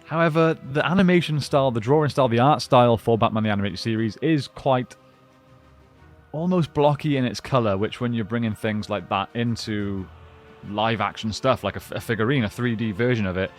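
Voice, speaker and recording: A man with a mid-pitched voice chats through a collectible review. He is informal and unscripted, thinking aloud as he handles the item. Music plays behind his voice. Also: a little fast. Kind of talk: casual unboxing and review chat.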